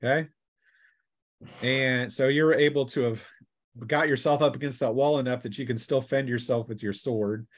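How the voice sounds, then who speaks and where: A man speaks as game master of a tabletop role-playing session, unscripted and conversational. He slips between describing the action and counting out points and rules, in a mid-pitched voice. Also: fairly even intonation, normal speed.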